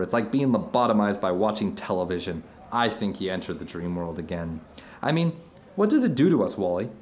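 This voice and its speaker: A man's voice: mid-range voice, a little mellow, lightly acted. A man playing an earnest philosophical friend in an audio drama, speaking emphatically and searchingly, often asking rhetorical questions. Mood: earnest, musing. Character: earnest, philosophical man telling anecdotes over dinner, urging his friend. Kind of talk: naturalistic audio drama dialogue.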